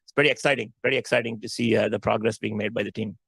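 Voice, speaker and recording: A male company executive explains things on an earnings call, repeating himself for emphasis. His pace is quick and his voice gravelly, with a dry, close sound. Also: lowish voice, steady tone, a bit bright, no room sound.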